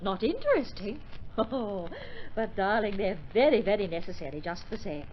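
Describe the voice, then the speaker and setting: A woman's voice: medium pitch, sing-song, moderate pace, close to an everyday voice. A woman playing a determined, affectionate opera singer in an old radio drama, with refined theatrical delivery. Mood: gently affectionate. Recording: muffled, noisy old radio recording.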